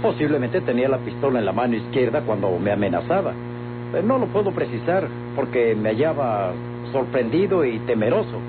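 A man's voice: mid-range voice, sing-song, a little fast, noisy background, natural voice. Man playing a defensive Mexican businessman, old radio drama. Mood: nervous, evasive. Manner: formal, defensive. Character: evasive, indignant businessman accused of murder. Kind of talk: Mexican golden-age radio drama acting. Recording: muffled vintage radio recording, music behind.

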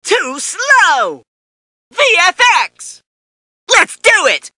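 High-pitched cocky young superhero. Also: male voice, wildly swooping pitch, extremely slow, no room sound, clean studio sound, exaggerated voice. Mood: battle-fired. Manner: shouted catchphrases, brash and showy. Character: cocky, excitable young male superhero fighter. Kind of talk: fighting game voice clips.

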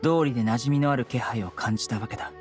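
A man's voice: mid-range voice, quick pace, soft background music, close to an everyday voice. A man playing a stern young law enforcer in a Japanese video game, composed, blunt and commanding. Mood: composed, businesslike.

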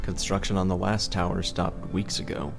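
A man playing a lone weary tower builder in a video game monologue, measured and solemn. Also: fairly even intonation, creaky. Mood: resigned, determined. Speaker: game protagonist narrator. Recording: game audio under loud music and rumble.

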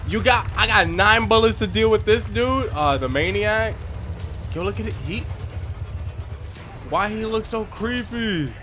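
A young man streaming a horror game from his room, reacting loudly with constant swearing and trash talk. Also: high voice, animated, unhurried, pauses often, a little hiss or hum. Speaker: gamer streamer. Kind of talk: live gameplay reactions. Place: small room at home, indoors.

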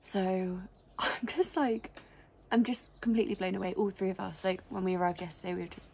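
A woman's voice: medium pitch, fairly quick, gravelly, darkish tone. Young woman, enthusiastic, chatty vlogger, phone camera, faint background hum. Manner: gushing, enthusiastic, many likes and ums. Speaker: lifestyle vlogger. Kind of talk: casual chatty vlog to camera. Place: indoors in a ski chalet.

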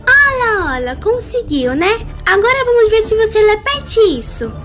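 A woman's voice: very high-pitched, expressive, normal speed, bright, forceful tone, faint music behind, full character voice. A Brazilian woman voicing a feisty, easily exasperated little girl in an emphatic cartoon fandub style. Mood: mocking. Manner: emphatic, exclamatory. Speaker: amateur fan dubber.

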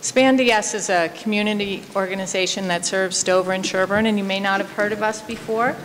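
A woman steps to the podium to speak for a community organization in a friendly, informal way. Her voice sits at a middle pitch. The recording is roomy with clear background noise. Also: steady tone, brisk. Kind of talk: public comment at a town meeting. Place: large hall, at a podium. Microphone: podium microphone. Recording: reverberant hall with clear room noise.

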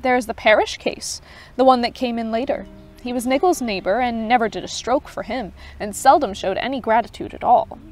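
A woman voicing a gentle but authoritative unseen advocate who speaks kindly and with measured calm. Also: fairly high pitch, faint music behind, lightly acted. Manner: kind, measured, pleading.

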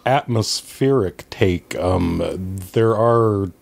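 A middle-aged man chatting casually as a podcast guest, pausing often to search for words. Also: fairly low pitch, vocal fry, a little mellow. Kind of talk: casual chat.

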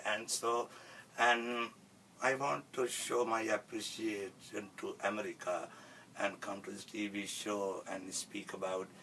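A man in his forties from Sri Lanka with a Sri Lankan accent, a fashion designer introducing his sewing studio in halting English full of fillers. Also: on the low side, gravelly, bright, forceful tone, distant mic, slight background noise, rough recording. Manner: halting non-native English with many fillers. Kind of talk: self-introduction video. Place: cluttered sewing studio, indoors.

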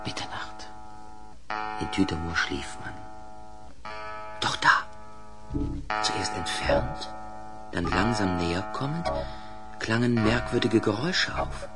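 A man narrating a children's audio play as a dramatic, deliberate storyteller with suspenseful pauses. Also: on the low side, animated, over loud music, poor audio.

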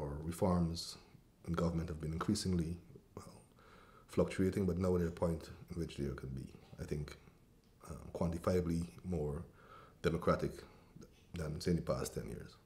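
A Guyanese man in his forties with a very low, deep voice speaks as a writer in a seated interview. He talks slowly and thoughtfully, pausing on ums and restarting phrases, with a cough now and then. He is recorded indoors in a room with a brick fireplace and bookshelves. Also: mellow. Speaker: writer and cultural policy advisor.

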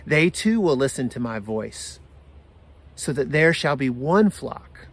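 An American man in his forties preaches as a pastor. His voice sits at an ordinary male pitch, and he takes his time, setting down short measured phrases with deliberate pauses between them. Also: sing-song, breathy edge. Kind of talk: sermon for an online service. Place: outdoors in a garden.

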